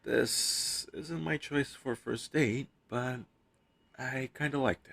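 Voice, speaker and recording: A man's voice: medium pitch, slow pace, vocal fry, fairly bright tone, full character voice. A man playing a captured superhero posing as a hostage in an intimate audio roleplay, wry, teasing and flirtatious. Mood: shy, tender.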